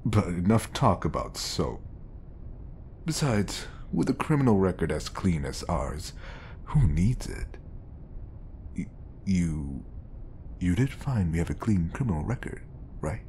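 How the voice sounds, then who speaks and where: A man playing a smooth, scheming, obsessive bodyguard who feigns polite professionalism in an audio roleplay. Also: deep voice, sing-song, unhurried, long pauses, darkish tone, slight background noise, exaggerated voice. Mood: earnest, persuasive.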